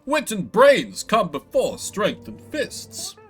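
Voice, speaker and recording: Man putting on a pompous, husky dragon slayer. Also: high voice, wildly swooping pitch, slow pace, faint music behind, heavily acted. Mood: dramatic bragging. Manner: pompous, florid storytelling. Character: boastful male dragon slayer, grandiose, theatrical, self-styled hero. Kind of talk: acted dialogue for a fantasy video game.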